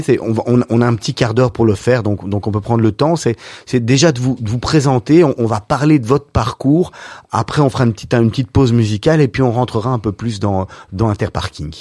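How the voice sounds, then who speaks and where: A man's voice: mid-range voice, darkish tone, no room sound. A man hosting a talk show in a medium voice, friendly in a chatty way, often restarting his words. He sounds close and dry. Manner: chatty, repeats words while framing questions. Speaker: radio show host. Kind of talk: interview hosting.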